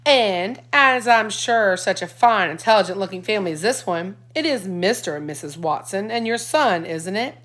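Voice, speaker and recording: A woman's voice: mid-range voice, animated, normal speed, few pauses, a bit bright. A woman doing a hammy, playful dad. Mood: showy pitch. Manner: hammy salesman patter. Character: cheerful showy father, playful man.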